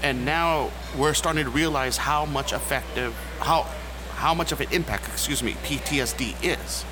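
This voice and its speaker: A man talking as a guest on a podcast in a cigar lounge, joking and rallying listeners in an animated way. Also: mid-range voice, moderate pace. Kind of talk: casual roundtable chat. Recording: noisy with passing traffic.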